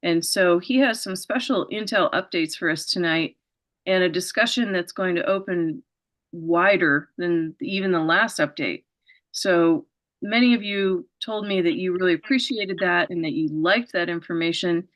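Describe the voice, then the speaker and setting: A woman's voice: lowish voice, normal speed, fairly bright tone. A woman hosting an interview show, giving an earnest, rambling setup with many fillers before her questions. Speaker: show host.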